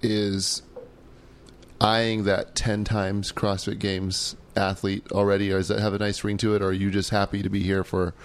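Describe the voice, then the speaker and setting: A man's voice: on the low side, little pitch movement, moderate pace. A man in his thirties co-hosting a podcast, chatty and playful in his questions. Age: 30s. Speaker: podcast co-host. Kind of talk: casual podcast chat.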